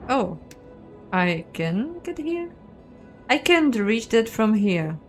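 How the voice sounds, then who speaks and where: Slow-talking woman musing through a game. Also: on the high side, very animated, soft background music. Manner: musing aloud, questioning herself. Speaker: game streamer and narrator. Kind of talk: casual playthrough commentary, reading game text aloud.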